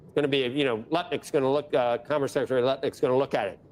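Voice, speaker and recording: A man anchoring a business news interview, halting with fillers and exasperated asides about the government. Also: mid-range voice, steady tone, darkish tone.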